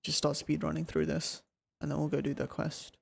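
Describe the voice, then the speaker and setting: Male game streamer, fairly flat and gravelly, offhand fragmentary commentary. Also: fairly high pitch, brisk. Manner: muttered, fragmentary thinking aloud. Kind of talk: casual gameplay commentary. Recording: stream mic under loud game music.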